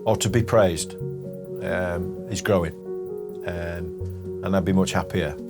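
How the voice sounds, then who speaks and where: A man's voice: sits low, steady tone, over loud music. A middle-aged man with a Yorkshire accent, a head teacher speaking firmly and plainly about his school and pupils. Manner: firm, plain-spoken, measured. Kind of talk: documentary interview and school talk.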